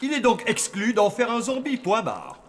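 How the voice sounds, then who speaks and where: Pompous zombie hotel father, high and swinging. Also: huge pitch swings, normal speed, a little hiss or hum. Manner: formal, pompous.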